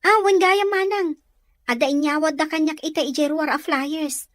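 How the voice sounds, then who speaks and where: A woman as a high-voiced younger sister. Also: fairly even intonation, normal speed, a bit bright, dry recording, studio quality. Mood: complaining, concerned. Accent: Ilocano. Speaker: radio drama actor. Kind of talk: Filipino radio drama dialogue.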